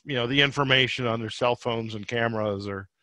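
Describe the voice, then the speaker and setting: A man's voice: mid-range voice, brisk, pushed and bright, no room sound. A man hosting a podcast interview, asking conversational questions in a roundabout, rephrasing way.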